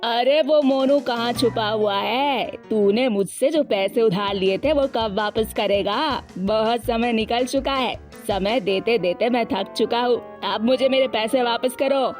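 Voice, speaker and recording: A woman's voice: fairly high pitch, unhurried, few pauses, lightly acted. A woman voicing an arrogant, bullying male crow moneylender in a cartoon story, with a demanding manner. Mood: threatening. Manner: threatening, demanding. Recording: music behind the voice.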